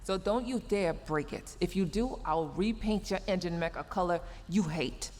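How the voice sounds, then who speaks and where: A woman's voice: on the low side, moderate pace, darkish tone, close to an everyday voice. A woman playing a scolding but secretly caring young female mech engineer in a sci-fi audio roleplay, huffy, flustered and prone to stammering. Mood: tearful scolding. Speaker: voice actor. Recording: long reverb, clear background noise.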